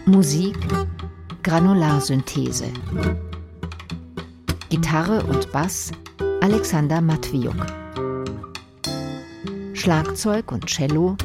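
A woman announcer reading radio play credits in a scripted broadcast style, with measured delivery. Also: low voice, animated. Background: guitar music.

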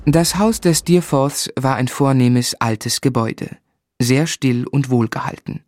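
An actor narrating a German radio drama as a man looking back on his youth, in a measured, reflective literary style. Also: mid-range voice, a little mellow, slight background noise, lightly acted. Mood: observant.

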